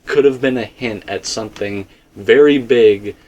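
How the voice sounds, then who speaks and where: A man's voice: medium pitch, unhurried, darkish tone, lo-fi sound. A man hosting a casual, speculative discussion, talking in a rambling, stop-and-start way and often correcting himself. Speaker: host of a game theory discussion.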